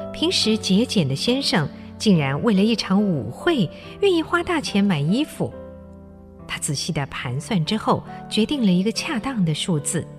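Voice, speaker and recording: An actress plays a storyteller for a radio adaptation of classic literature. She is a woman with a medium pitch and very animated delivery. Music plays softly behind her narration. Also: close to an everyday voice.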